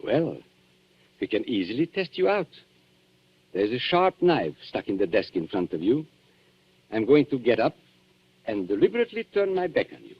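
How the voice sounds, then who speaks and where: An actor playing a smooth, mocking blackmailer with theatrical politeness in a 1940s radio thriller. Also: male voice, mid-range voice, sing-song, normal speed, pauses often. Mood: taunting. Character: suave, sneering male blackmailer posing as antique dealer. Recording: muffled 1940s radio recording.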